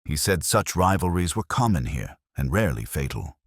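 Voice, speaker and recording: Very deep, brooding male narrator. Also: big pitch swings, husky, dry recording, clean studio sound. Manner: literary, brooding, measured. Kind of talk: scripted first-person detective story narration.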